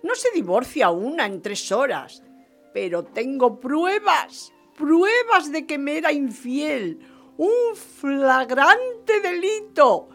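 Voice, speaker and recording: Exuberant, high-voiced scheming marquise. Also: female voice, sing-song, slow, a bit bright, faint music behind, heavily acted. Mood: excited, insistent. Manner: theatrical, gushing, repeating phrases for emphasis. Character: exuberant, scheming, vengeful French marquise, gleeful about her marriage. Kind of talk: radio theatre acting of a period story.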